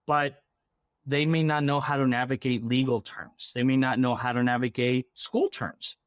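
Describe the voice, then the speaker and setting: A man's voice: medium pitch, level tone, moderate pace, smooth, no echo. Man explaining in a dry, close recording. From Ecuador. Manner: explanatory, checks in with "right?". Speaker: interview guest, refugee program worker. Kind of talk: conversational explanation in an interview.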